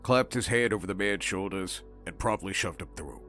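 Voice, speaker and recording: A man's voice: deep voice, moderate pace, fairly bright tone, faint music behind. A man narrating in the scripted, formal style of a clinical scientific report.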